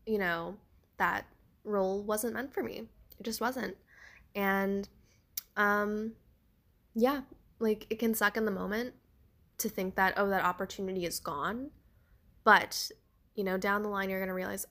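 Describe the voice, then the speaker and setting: A woman's voice: medium pitch, sharp tone. Congested young woman rambling on her solo podcast, stuffy nasal voice, lots of likes and you knows. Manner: chatty, lots of fillers. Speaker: podcast host; theater actor.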